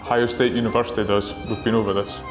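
A man's voice: on the low side, level tone, fast, over music. A man in his thirties co-hosting a show, telling puns and jokes. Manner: pun-telling, bouncy. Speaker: co-host. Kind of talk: joking casual chat. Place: outdoor farm and open barn.

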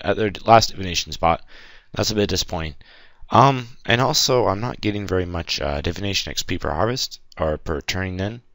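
Young guy gaming commentary, deep voice, kinda sick and losing it, dry close mic. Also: a bit bright, no room sound. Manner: rambling, filler-heavy, matter-of-fact. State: losing his voice, a little sick. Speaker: gaming channel host. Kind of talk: casual gameplay commentary.

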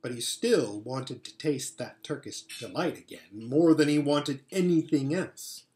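Middle-aged man reading aloud, very animated. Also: mid-range voice, a little slow. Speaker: story reader. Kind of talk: expressive read-aloud narration of a children's book. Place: furnished living room at home, indoors. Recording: echoey home room.

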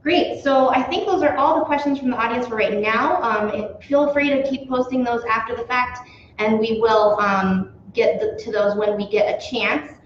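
A woman's voice: a little high, moderate pace, slight background noise. A young woman hosting a live question-and-answer in an office, friendly, with many "um"s. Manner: friendly, lots of "um"s. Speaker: host. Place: small office room. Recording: very echoey, dull office recording.

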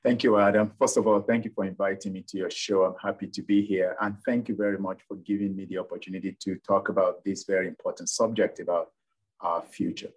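A middle-aged man from Nigeria, a city planning director answering interview questions in a courteous, measured way over a video call. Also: low-pitched, steady tone, poor audio.

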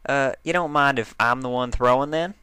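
Man voicing a folksy cowgirl pony, raised pitch. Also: normal speed, sharp tone, clean tone, dry recording, close to an everyday voice. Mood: bashful, modest. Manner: folksy, modest. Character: easygoing, modest female country cowgirl cartoon pony.

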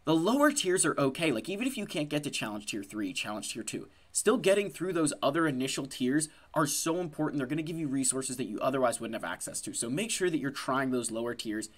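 A man's voice: mid-range voice, expressive, brisk, hardly any pauses. A man in his thirties with a cold, sniffling, hosting a casual talk about a mobile game from his desk at home and often tagging his points with "right?". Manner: conversational, lots of "right?" and "like". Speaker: gaming channel host.

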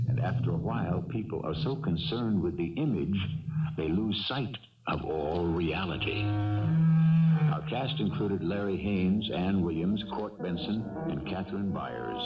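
A man hosting a 1970s radio drama, delivering scripted, philosophical narration with theatrical, rhetorical phrasing. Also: deep voice. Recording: dull old radio broadcast, distant. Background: loud music.

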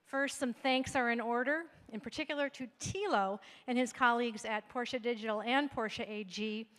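A woman's voice: fairly high pitch, normal speed, fairly bright tone. Female host, middle-aged, polished and formal, handheld mic, echoing hall. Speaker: event host. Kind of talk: scripted welcome speech. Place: stage in an event hall.

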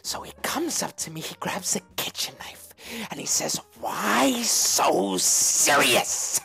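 A woman putting on a giggling, unhinged male clown villain voice, with shrill cackles and sudden shouts, pranking strangers in a voice chat. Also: big pitch swings, takes it slowly, gravelly, slightly rough, in a whisper, heavily acted. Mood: sinister.

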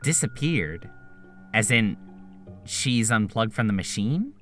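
A woman playing an exasperated, sarcastic adult technician in a video game fan dub, theatrical and expressive. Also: medium pitch, takes it slowly, soft background music. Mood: suspicious.

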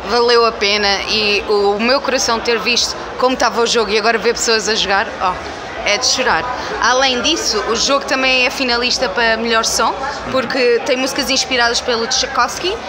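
A woman in her twenties with a European Portuguese accent, giving enthusiastic, emphatic answers in a casual interview. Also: on the high side. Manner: enthusiastic, effusive, emphatic repetition. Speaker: game publisher community manager, interviewee. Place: indoor festival venue, by a booth wall. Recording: loud busy event-floor noise.

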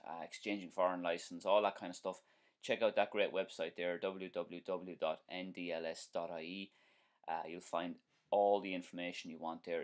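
A man in his forties with an Irish accent, hosting a live stream as a driving instructor, chatting casually and answering viewer comments with plenty of ums and restarts. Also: low-pitched. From Ireland. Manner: chatty, friendly, repeats himself, many um and uh fillers. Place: small indoor room at home.

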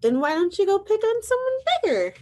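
A woman's voice: very high-pitched, big pitch swings, fairly quick, warm tone, voice pushed up. A woman voicing a sweet, friendly female ladybug in a children's storybook, politely and unruffled. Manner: sweet, sing-song politeness. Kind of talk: children's storybook read-aloud.

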